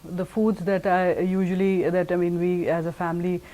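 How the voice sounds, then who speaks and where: A woman with an Indian accent answers an interview question. She is hesitant and uses many fillers. Her voice is lowish in pitch and animated. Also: normal speed, poor audio. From northern India. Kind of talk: casual interview.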